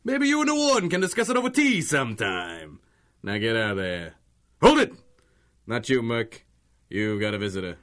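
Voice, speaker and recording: An actor playing a gruff, bossy prison guard in a 1930s-style radio drama, barking with heavy sarcasm. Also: male voice, medium pitch, very animated, moderate pace, a bit bright. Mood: bossy, sarcastic.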